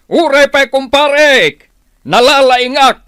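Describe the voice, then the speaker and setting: Man acting a high-pitched, boastful village bully. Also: slow, a bit bright, dry recording, studio quality, exaggerated voice. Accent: Filipino. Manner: boastful, domineering. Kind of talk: comedic Filipino radio drama acting.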